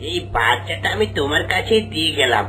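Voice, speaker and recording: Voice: mid-range voice, animated, fast talker, lightly acted. An actor voicing a hungry, man-eating wolf in a cartoon. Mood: nervous, backing down. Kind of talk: cartoon character dialogue. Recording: loud music behind the voice.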